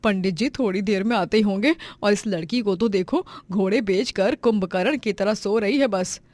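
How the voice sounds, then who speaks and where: A woman's voice: mid-range voice, normal speed, a little mellow, no room sound, natural voice. A woman voicing a stern middle-aged mother-in-law in a family moral story, bossy in manner. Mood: exasperated. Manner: bossy, scolding. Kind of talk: Hindi moral story voice acting.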